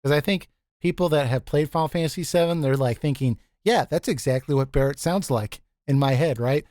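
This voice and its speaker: A man with a deep voice hosting a casual group chat, easygoing and quick to laugh, often restarting his sentences. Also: darkish tone, dry recording. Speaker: podcast host.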